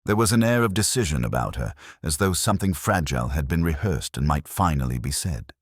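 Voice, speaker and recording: A man narrating a scripted first-person detective story in a measured, literary, reflective manner. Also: extremely low pitch, sing-song, slightly rough, dry recording, studio quality.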